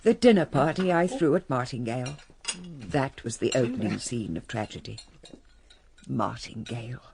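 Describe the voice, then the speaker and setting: A woman's voice: extremely low pitch, expressive, moderate pace, vocal fry, a little mellow, slight background noise, exaggerated voice. An actress playing a genteel, reflective older English lady of a declining manor, narrating a radio drama in a refined, wry manner. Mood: wry, nostalgic. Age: middle-aged or older.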